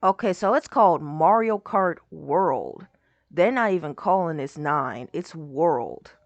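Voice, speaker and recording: A young woman with a hoarse voice, rambling casually as she reacts to a game showcase video. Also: sits low, expressive, slow pace, no room sound, muffled recording. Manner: rambling, lots of "like" and trailing off.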